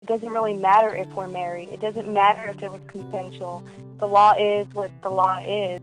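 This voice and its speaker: A woman's voice: medium pitch, flat delivery, normal speed, soft background music, poor audio. A woman being interviewed, giving a personal account.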